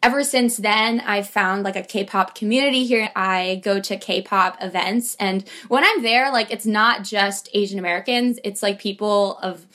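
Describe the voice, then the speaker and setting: A woman from Los Angeles answers interview questions in a chatty, relaxed way. Her speech is full of "like" and "you know", and she laughs often between thoughts. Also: medium pitch, normal speed, hardly any pauses.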